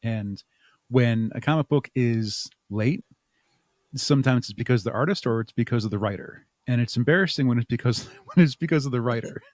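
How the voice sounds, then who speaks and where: Man, medium pitch, interview guest, explanatory, example-driven, conversational, very little room echo. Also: a little fast, a little mellow, studio quality. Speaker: interview guest, audio drama creator.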